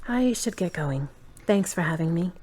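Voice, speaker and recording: A woman playing a wry, thoughtful grown daughter in an audio drama, measured and dry. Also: fairly low pitch, expressive, a little mellow. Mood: dry, affectionate. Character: wry, guarded adult daughter, thoughtful and independent.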